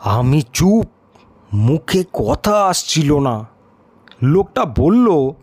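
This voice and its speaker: A man's voice: fairly high pitch, big pitch swings, extremely slow, a little mellow, slightly rough, full character voice. An actor playing a timid, bewildered male writer who narrates his own tale, hesitant and questioning. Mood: subdued. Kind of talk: first-person audio story narration with acted dialogue.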